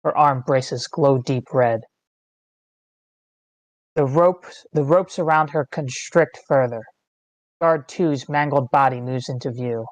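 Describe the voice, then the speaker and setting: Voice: medium pitch, slow pace, pauses often, dry recording, low-quality recording. A narrator reading screenplay stage directions in terse, dramatic phrases. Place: online meeting, close dry mic.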